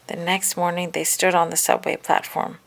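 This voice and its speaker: A woman narrating a children's picture book aloud in an expressive, scripted storytelling style. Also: on the low side, moderate pace, vocal fry.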